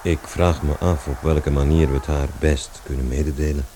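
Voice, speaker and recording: An actor playing a terse, grim eldest son in a radio drama, speaking bluntly and curtly. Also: male voice, a bass voice, fairly even intonation, moderate pace, dark tone, slight background noise. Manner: blunt, curt.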